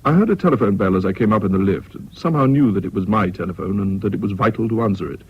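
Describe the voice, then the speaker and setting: An actor playing a reflective British gentleman narrating his own story in a radio drama, in a literary, deliberate manner. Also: on the low side, a little hiss or hum, close to an everyday voice. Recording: dull, muffled old radio recording.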